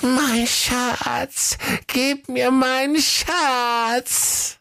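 A man's voice: very high voice, fairly even intonation, extremely slow, vocal fry, pushed and bright, dry recording, voice pushed up, exaggerated voice. A man voicing a whiny, worn-out male lifeguard creature in a children's story, grumbling and wheezing. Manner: whiny, weary, drawn out.

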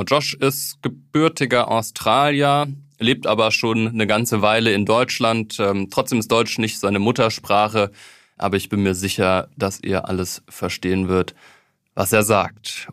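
Man, podcast host, slightly low voice, smooth intro, conversational questions, dry studio sound. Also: steady tone, unhurried, fairly bright tone, no room sound. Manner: smooth scripted intro, then brief conversational prompts. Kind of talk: podcast interview hosting. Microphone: studio microphone.

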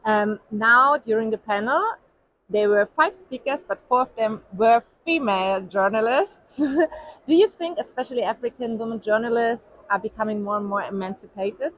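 Higher-voiced woman asking polite questions. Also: normal speed, fairly bright tone, low-quality recording. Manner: polite, hesitant with fillers. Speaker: interviewer. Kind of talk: interview questions.

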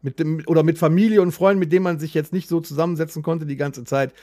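A man's voice: on the high side, steady tone, fast talker, dark tone, no echo, studio quality. A man chatting casually as a podcast co-host, blunt and colloquial, with some swearing. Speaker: podcast co-host and band member.

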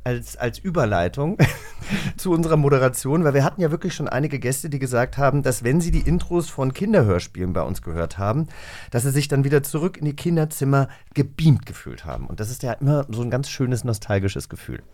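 A male podcast host talks in a studio with a very lively, up-and-down delivery. He is playful and teasing and slips into song. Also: medium pitch, brisk. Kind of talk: casual radio-drama podcast chat.

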